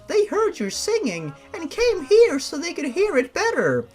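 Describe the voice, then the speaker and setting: Guy doing a little ghost girl for a creepy story reading, sweet n eager, really high childlike voice. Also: huge pitch swings, measured pace, soft background music, exaggerated voice. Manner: childlike, simple phrasing.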